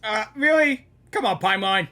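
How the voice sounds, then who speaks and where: A woman's voice: medium pitch, expressive, moderate pace, pushed and bright. A woman giving casual, slangy, exclamatory live reactions to a video game, often repeating herself.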